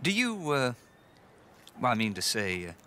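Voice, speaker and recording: A man's voice: mid-range voice, wildly swooping pitch, moderate pace, bright, forceful tone, slight background noise. An actor playing a polite, nervous male activist who hesitates and stumbles over his words. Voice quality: hesitant, genteel.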